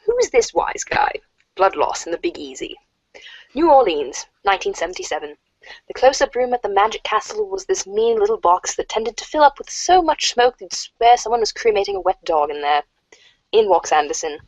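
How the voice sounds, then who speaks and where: A woman's voice: medium pitch, big pitch swings, moderate pace, a little mellow, no echo. A woman in an amateur reader's theater cast, recorded in muffled lo-fi audio. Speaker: voice cast member.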